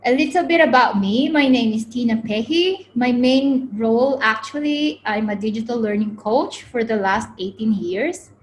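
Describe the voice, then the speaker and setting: A woman's voice: on the high side, normal speed, few pauses, slight background noise. A woman from the Philippines in her forties, leading an online workshop for teachers over a video call in an upbeat way, often saying "okay". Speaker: webinar presenter.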